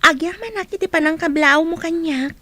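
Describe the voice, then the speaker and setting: A woman's voice: extremely high pitch, moderate pace, bright, forceful tone. A woman with an Ilocano accent playing a modest, polite, shy young student in a radio drama. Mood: bashful.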